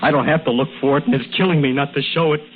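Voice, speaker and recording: An actor playing a desperate, devoted young farm boy, halting and pleading in a radio drama. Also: a little high, slight background noise, natural voice. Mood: anguished, resigned. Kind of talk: old radio drama acting. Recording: narrow, humming vintage radio recording.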